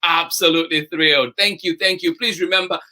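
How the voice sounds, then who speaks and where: A man's voice: a little high, fairly even intonation, fairly quick, bright, forceful tone. A man hosting a children's story time livestream, greeting viewers by name in an exuberant, effusive manner.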